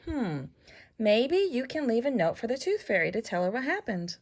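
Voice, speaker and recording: Woman reading as a reassuring storybook mother, lively intonation. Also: a little high, moderate pace. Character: reassuring mother of young children. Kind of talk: children's storybook read-aloud.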